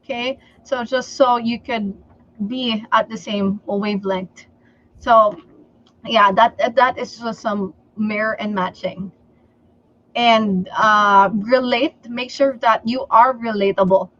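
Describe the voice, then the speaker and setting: A woman from the Philippines whose first language is Tagalog, giving sales advice in a livestream interview and often pausing on "okay" and "um". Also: fairly high pitch, fairly even intonation, fairly bright tone, lo-fi sound. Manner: slow and deliberate, many fillers like okay and um. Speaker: sales trainer guest.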